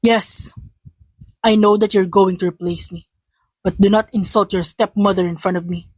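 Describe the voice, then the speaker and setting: A woman's voice: mid-range voice, fairly even intonation, unhurried, darkish tone, breathy edge, no room sound, pitched below the performer's own voice. A woman voicing a stern, authoritative middle-aged father and company boss, commanding and reproving, in an audio story.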